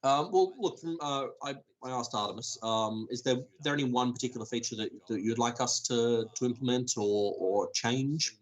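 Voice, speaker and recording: A man answering technical questions in a casual chat, thinking aloud with many ums and restarts, his voice going hoarse. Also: mid-range voice, moderate pace, few pauses, rough recording. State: losing his voice, clearing throat. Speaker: developer answering community questions.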